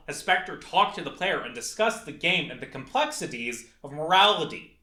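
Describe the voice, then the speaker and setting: Bright-voiced young man in an echoey room. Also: on the high side. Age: young adult. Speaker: video essayist and game developer. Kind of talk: scripted narration, video essay.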